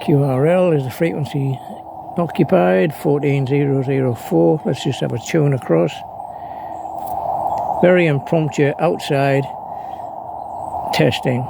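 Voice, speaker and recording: Male hobby vlogger, fry-edged voice, off-the-cuff and low-key, recorded outdoors with obvious ambient noise. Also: unhurried, long pauses. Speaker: amateur radio operator, vlogger. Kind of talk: casual unscripted demonstration. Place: outdoors, partly on a breezy beach. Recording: clearly noisy outdoor ambience.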